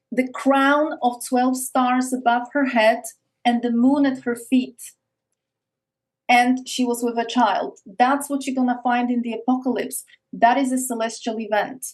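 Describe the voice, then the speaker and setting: Eastern European woman, earnest and halting. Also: on the high side, rough recording. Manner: earnest, frequent restarts and repeated words. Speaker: podcast guest. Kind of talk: casual interview talk. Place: Arctic north of Norway.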